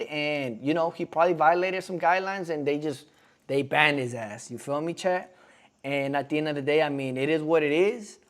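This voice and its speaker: A man in his twenties rambling in slangy casual commentary as he reacts on stream, constantly checking in with his chat. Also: fairly high pitch. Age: 20s. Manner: slangy and rambling, keeps asking the chat "you feel me". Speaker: streamer reacting to a podcast clip.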